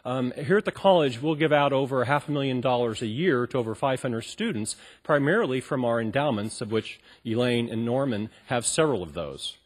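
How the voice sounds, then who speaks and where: A man's voice: medium pitch, expressive, a little fast, hardly any pauses, breathy edge. A man moderating a public panel and introducing a speaker, talking loosely with many ums and restarts. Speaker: event moderator. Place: college auditorium stage.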